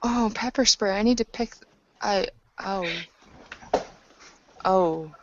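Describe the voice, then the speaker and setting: A woman improvising a story in a tabletop roleplaying session, speaking in a halting, measured way with pauses. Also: mid-range voice, background noise. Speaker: game master and player narrating a tabletop roleplaying session. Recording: dull lo-fi audio.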